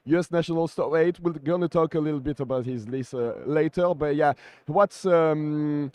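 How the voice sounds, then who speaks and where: A man co-commentating a card game tournament in a conversational, somewhat halting way. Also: fairly high pitch, a little mellow, clear, resonant tone, dry recording.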